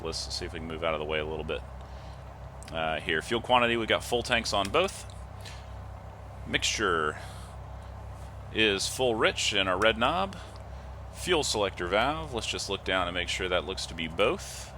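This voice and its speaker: A man's voice: sits low, bright, forceful tone, a little hiss or hum. A man giving methodical, instructional step-by-step flight simulator commentary, reading off checklist items. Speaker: host of a flight simulator video series.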